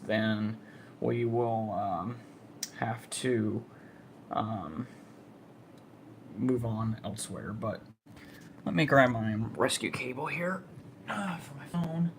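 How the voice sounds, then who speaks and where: Slow gravelly guy doing a storm livestream, hesitant, trailing off, noisy phone audio. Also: mid-range voice, fairly bright tone. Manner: halting, hesitant delivery with trailing pauses. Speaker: amateur storm spotter livestreamer. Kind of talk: casual livestream chat to viewers. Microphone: phone, held or propped nearby.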